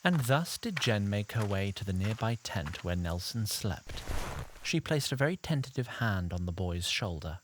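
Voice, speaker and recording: A man's voice: fairly low pitch, sing-song, normal speed, a little hiss or hum, rough recording. A man playing a fussy, theatrical storyteller who narrates a fantasy audio drama in wordy, arch phrases and addresses listeners directly. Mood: arch, storytelling. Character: chatty, theatrical male storyteller narrator, fussy and self-aware.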